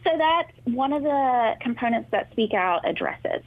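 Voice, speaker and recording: A woman's voice: on the high side, measured pace, no room sound. A woman explains things patiently and informatively, like a therapist answering interview questions. Her voice comes through a thin, phone-like line. The sound is dry and close. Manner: friendly, informative, steady explaining. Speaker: speech therapist guest. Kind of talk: explaining in a talk show interview.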